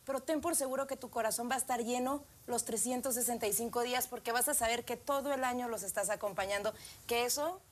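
A woman's voice: fairly high pitch, fast talker. A woman hosting a live telethon, earnestly urging viewers to sign up and donate. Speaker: host.

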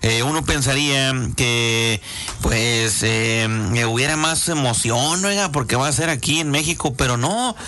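A man with a Mexican Spanish accent hosting a radio show, hoarse-voiced, chatty and folksy as he reads out listener greetings. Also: medium pitch, pushed and bright. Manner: chatty, folksy, many fillers. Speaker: radio host. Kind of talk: casual radio chat reading listener greetings.

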